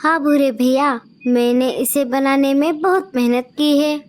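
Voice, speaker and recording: A woman's voice: high voice, a little slow, a little hiss or hum. A woman voicing kind female birds in a cartoon story, speaking sweetly and eager to help. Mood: helpful.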